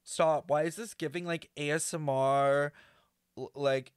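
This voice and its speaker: A man's voice: on the high side, steady tone, measured pace, clear, resonant tone. A young man doing casual reaction commentary as a streamer, with a campy, dramatic, exaggerating way of talking. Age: young adult. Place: small indoor streaming room.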